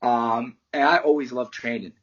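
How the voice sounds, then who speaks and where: A man talks through a candid, loose interview, rambling a little and swearing without a second thought. His voice has a husky edge to it, and the call audio is muffled and lo-fi. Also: mid-range voice, steady tone, normal speed, fairly bright tone. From United States. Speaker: MMA fighter and martial arts teacher. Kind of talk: casual interview answers.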